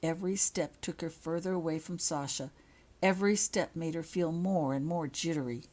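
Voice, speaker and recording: A woman narrating a story from a script, reading her own fiction aloud with a husky voice. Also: sits low, normal speed, a little mellow.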